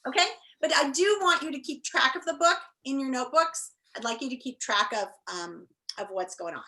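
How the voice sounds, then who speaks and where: A woman's voice: sits high, brisk, pushed and bright, low-quality recording. A woman teacher talking and reading aloud to an online class, enthusiastic and encouraging. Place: indoors, on a video call.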